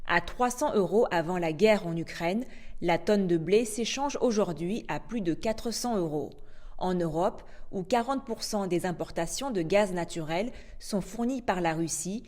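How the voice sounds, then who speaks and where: A woman anchoring a news bulletin, reading in a steady, formal way. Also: lowish voice, measured pace. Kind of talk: scripted news narration.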